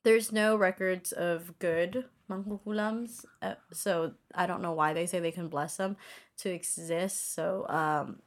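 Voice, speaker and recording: A woman's voice: lowish voice, steady tone, unhurried. Giggly young woman explaining a topic. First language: Filipino language. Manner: giggly, explains a topic with many fillers and restarts. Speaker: podcast co-host. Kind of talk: casual chat.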